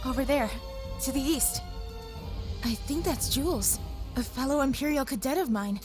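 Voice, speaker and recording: A level-headed young commander played by a woman in a game fan dub. The voice is fairly high and determined, with clear music behind it. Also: animated, normal speed. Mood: composed.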